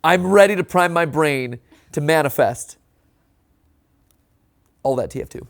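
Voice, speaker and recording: A man hosting a reaction show, theorizing casually in an animated, conversational way and often checking in with a tag question. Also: fairly high pitch, a little slow, vocal fry. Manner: animated, conversational, often ends points with "right?".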